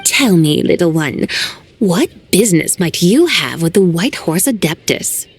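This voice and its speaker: A woman voicing a calm, aloof woman who speaks in a composed, archly formal way and calls others little one. It is game dialogue. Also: lowish voice, a little slow, breathy edge, faint music behind, full character voice. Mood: teasing. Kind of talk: game voice acting.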